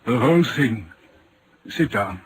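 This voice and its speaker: An actor playing a troubled, insistent railway signalman, telling his story gravely and deliberately in old-time radio horror style. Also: mid-range voice, expressive, slight background noise.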